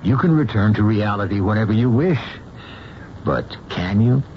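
A man hosting a radio drama with theatrical, deliberate scripted narration and a rhetorical, dramatic manner. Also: on the low side, expressive, slight background noise. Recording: muffled old radio, loud music behind.

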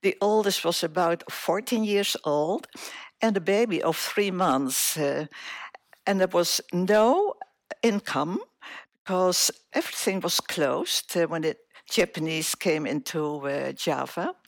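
A woman in her eighties, born in Indonesia, telling her life story as an interview guest, slowly and with many hesitations. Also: lowish voice, lively intonation, dry recording, studio quality. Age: 80s. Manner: slow, hesitant, many filler sounds.

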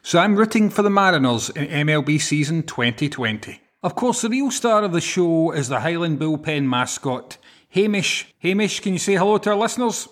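A man's voice: fairly high pitch, animated, moderate pace. A man with a Glaswegian Scottish accent, hosting a podcast with scripted introductions. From Glasgow, Scotland. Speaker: podcast host.

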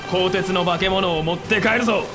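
An actor playing a fiery, loyal samurai commander in a period audio drama, with a curt, intense way of giving orders. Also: male voice, sits high, fairly even intonation, normal speed, over music, lightly acted. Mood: commanding, resolute.

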